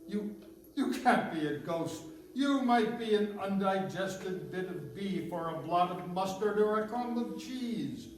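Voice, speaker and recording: A man's voice: high-pitched, expressive, unhurried. A man playing a crotchety old miserly businessman in a radio play, querulous and exclamatory. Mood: scornful, sceptical. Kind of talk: stage radio-play acting. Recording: noisy, very echoey room, far from mic.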